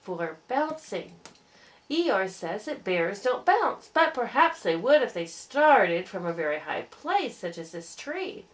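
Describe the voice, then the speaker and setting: A woman's voice: medium pitch, very animated, slow pace. A woman voicing a naive, good-natured toy bear in a storybook, simple and earnest.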